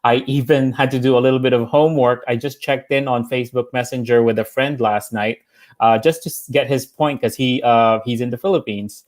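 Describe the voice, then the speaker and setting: A man's voice: medium pitch, steady tone, fairly quick. A man speaking as a panelist, thoughtful, with frequent "uh"s. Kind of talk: panel discussion.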